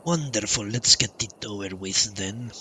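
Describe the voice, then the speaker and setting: A man's voice: lowish voice, measured pace, bright, forceful tone. A man voicing a haughty elf recruit who dislikes humans in a live fantasy game roleplay, curt and disdainful. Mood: dismissive. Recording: game music behind the voice.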